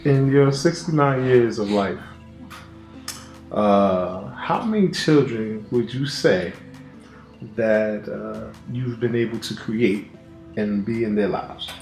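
A male interviewer asks measured, courteous questions in a documentary setting. His voice carries a noticeable room echo, with soft music beneath it. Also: fairly low pitch. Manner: measured questioning, calls the guest sir. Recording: roomy room sound.

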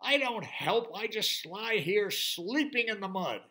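A man voicing a lazy, grumpy big fat male pig in a told story, loud and whiny. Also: animated, unhurried, heavily acted. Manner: whiny, loud and squealing high.

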